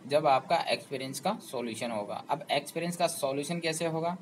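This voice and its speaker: A man's voice: a little high, brisk, slight background noise, low-quality recording. A man hosting a job information channel, explaining to students persuasively and often asking them rhetorical questions.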